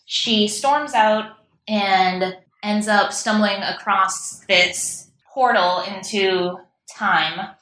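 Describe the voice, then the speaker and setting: A woman's voice: medium pitch, fairly bright tone. Chatty woman in a roomy space. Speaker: guest playwright. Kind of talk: casual interview chat. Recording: roomy, echoing sound.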